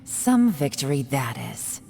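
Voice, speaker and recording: A woman's voice: extremely low pitch, very animated, slow, creaky, warm tone, heavily acted. A woman playing a shrewd, composed adventurer in a video game, with a wry, skeptical, sardonic delivery. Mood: wry, challenging. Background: music.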